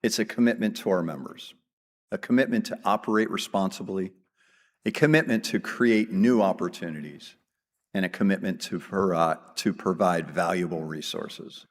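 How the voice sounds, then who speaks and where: A man giving a formal, measured scripted speech from a convention stage before he introduces the keynote speaker. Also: sits low. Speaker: association executive introducing the keynote. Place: large convention hall. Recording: long hall reverb.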